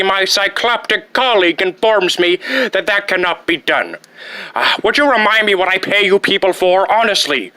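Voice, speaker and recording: A comic movie supervillain, pompous and petty, with a bright, forceful voice set fairly high. He over-enunciates and savors dramatic pauses, and the recording is close and dry. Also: dry recording, full character voice, poor audio. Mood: petulant. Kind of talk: comic film villain impression.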